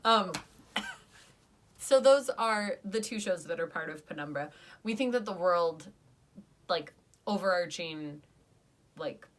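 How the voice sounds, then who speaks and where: Chatty young woman reviewing podcasts. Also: low-pitched. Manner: chatty, lots of "like" fillers, animated when ranting. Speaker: podcast co-host. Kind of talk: casual podcast review chat.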